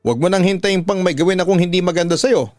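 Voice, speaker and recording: A man's voice: high voice, fast talker, a little mellow, no room sound, studio quality, pitched above the performer's own voice, lightly acted. A man playing a harsh, domineering father in a radio drama, stern, berating and threatening. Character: harsh, domineering father defending his mistress. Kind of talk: Tagalog radio drama acting.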